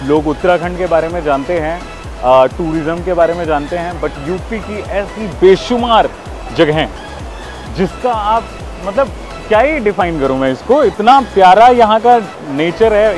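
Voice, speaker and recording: A man's voice: high voice, lively intonation, moderate pace, over music. A man, talking casually and enthusiastically to his viewers as a travel vlogger, urging them on. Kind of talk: casual vlog talk to viewers. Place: outdoors, on the road and by a waterfall.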